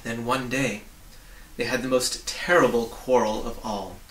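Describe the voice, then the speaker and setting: A man in his forties reading a storybook aloud in a measured, expressive way. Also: medium pitch. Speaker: reader. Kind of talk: storybook narration, read aloud. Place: small book-lined home study, indoors. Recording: roomy, distant mic with faint noise.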